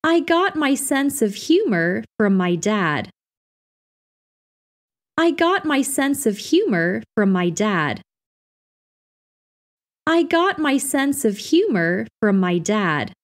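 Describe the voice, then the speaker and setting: A woman reads short practice phrases for an English lesson, slowly and with clear, careful enunciation. Her voice sits a little high, and her pitch moves up and down in a lively way. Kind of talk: slow scripted reading of practice phrases.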